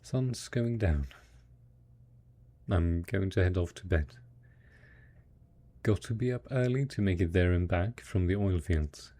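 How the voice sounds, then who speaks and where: A man acting as a devoted, hopeful survivor in an intimate audio roleplay, talking tenderly and coaxingly to a spouse, with a husky, gravelly voice. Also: deep voice, a little slow, pauses often, mellow. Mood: weary, gentle.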